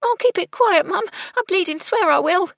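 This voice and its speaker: A woman's voice: very high voice, animated, moderate pace, gravelly, a bit bright, dry recording, voice pushed up, full character voice. A woman voicing a nervous, tearful young female housemaid who blurts out her words in a coarse, colloquial way. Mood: tearful pleading.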